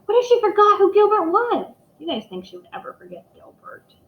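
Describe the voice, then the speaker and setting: A woman teacher reading a picture book aloud to young children, expressive and speaking to them directly. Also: extremely high pitch, brisk. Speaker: elementary school teacher reading aloud to pupils. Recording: echoey room, dull muffled microphone.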